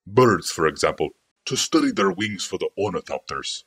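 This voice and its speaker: A man's voice: low-pitched, huge pitch swings, normal speed, vocal fry, a bit bright, breathy edge, full character voice. A man voicing a defensive young apprentice. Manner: guarded, earnest.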